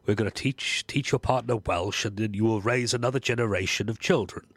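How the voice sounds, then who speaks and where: A man playing an anxious, overprotective father in improvised comedy, speaking haltingly with melodramatic weight. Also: fairly low pitch, a bit bright, husky, no echo. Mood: weary, wounded. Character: anxious, overprotective father, haunted keeper of a dying language. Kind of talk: improvised comedy role-play.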